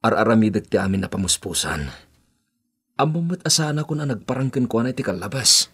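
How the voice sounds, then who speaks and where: A man's voice: on the low side, expressive, fairly quick, a bit bright, slightly rough. A man acting an evasive, two-timing young boyfriend in a radio drama, with a Filipino accent. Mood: placating, evasive.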